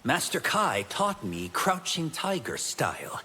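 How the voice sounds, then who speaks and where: A young martial arts pupil for a fighting game. His intonation is lively and his manner eager and courteous. Long reverb follows the voice. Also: fairly high pitch, measured pace, husky, heavily acted. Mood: eager, respectful. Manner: eager, polite. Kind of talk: video game dramatic dialogue. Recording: echoing hall reverb, faint noise.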